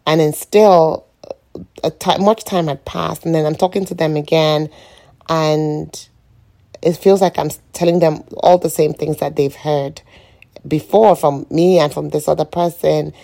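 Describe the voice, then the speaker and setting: Croaky, low-pitched woman. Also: steady tone, a little slow, warm tone. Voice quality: croaky morning voice. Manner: rambling, reflective. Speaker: podcast host. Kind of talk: informal solo podcast monologue, personal reflection.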